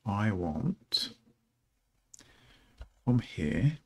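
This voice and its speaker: A middle-aged man with a low, gravelly voice, still rough from just getting up. He talks at an unhurried pace, halting often with ums as he thinks aloud through his work. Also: sing-song, a little mellow. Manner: slow, halting, with many ums. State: rough morning voice. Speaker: programmer streaming a coding session. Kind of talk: thinking aloud while coding.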